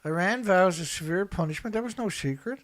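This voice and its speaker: Emphatic higher-voiced man giving blunt commentary. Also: lively intonation, a little fast. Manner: emphatic, repetitive, blunt, colloquial. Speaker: online commentator and activist host. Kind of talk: unscripted opinionated news commentary, reading headlines and reacting.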